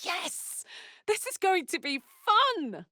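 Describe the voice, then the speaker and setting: A woman's voice: very high-pitched, sing-song, slow, sharp tone, no echo, studio quality, heavily acted. A woman voicing a brash, big-hearted, battle-hardened warrior woman in a video game, blunt and slangy. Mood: excited. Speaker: video game character voice actor. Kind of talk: video game character voice lines.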